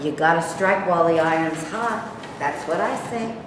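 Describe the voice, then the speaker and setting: A woman's voice: fairly low pitch, normal speed, natural voice. A woman playing a brash, opinionated, combative man in an amateur staged play reading, blunt and needling in manner. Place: echoey room, staged reading. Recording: very echoey room, faint noise.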